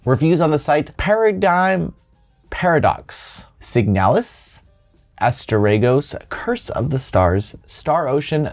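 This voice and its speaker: A man presents gaming news in a mid-pitched, very animated voice. He moves between scripted headlines and loose, self-deprecating jokes. Music plays underneath his voice. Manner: playful, self-deprecating asides. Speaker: host of a gaming news show. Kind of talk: scripted news read with casual, joking asides.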